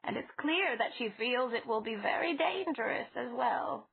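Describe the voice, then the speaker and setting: A woman doing a gentle old lady witch. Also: fairly high pitch, animated, vocal fry, crowd noise, pitched above the performer's own voice. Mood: musing. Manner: soft, fond, slow. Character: elderly woman, gentle fussy boarding-house witch. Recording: tinny phone-like sound.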